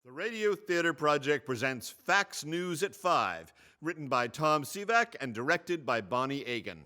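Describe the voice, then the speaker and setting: A man playing a TV news programme announcer in a radio comedy, with measured, emphatic scripted delivery. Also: on the high side, very animated, sharp tone, exaggerated voice. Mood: grand, formal.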